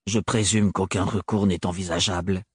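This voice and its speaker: A man voicing a cold, stiff male steward who speaks in a flat, icy, rigid tone. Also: low voice, moderate pace, slightly rough, no echo, clean studio sound, pitched below the performer's own voice.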